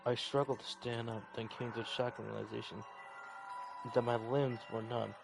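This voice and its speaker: Gravelly man reading over game music. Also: mid-range voice, fairly even intonation, normal speed, poor audio. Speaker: gaming video maker reading a visual novel aloud. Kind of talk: dramatic read-aloud with offhand reactions.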